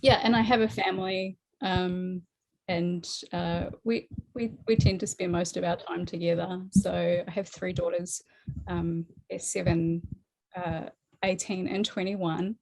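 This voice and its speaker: A woman's voice: fairly low pitch, steady tone, measured pace, vocal fry, a little mellow, rough recording. A woman in her forties with a New Zealand accent, a guest talking casually in an interview, chatty with frequent laughs and many fillers. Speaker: guest artist.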